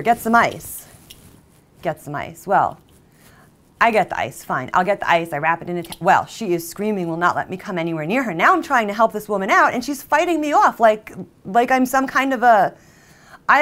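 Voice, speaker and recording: A woman's voice: fairly low pitch, close to an everyday voice. Woman acting a volatile jilted woman confessing. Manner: halting, emotionally volatile, mimics others' exclamations. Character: jilted, obsessive woman confessing a violent jealous outburst. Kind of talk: dramatic monologue, conversational first-person storytelling.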